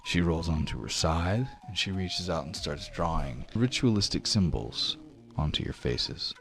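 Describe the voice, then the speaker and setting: A man narrating a tabletop game as game master in a measured, theatrical storytelling manner. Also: deep voice, animated, a bit bright, soft background music. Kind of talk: dramatic scripted tabletop narration.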